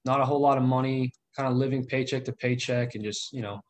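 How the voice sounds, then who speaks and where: A man's voice: medium pitch, fairly even intonation, quick pace, slight background noise. A man in his twenties, a guest in a casual interview, earnest and loose with fillers. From Southern California. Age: 20s. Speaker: guest, real estate investor.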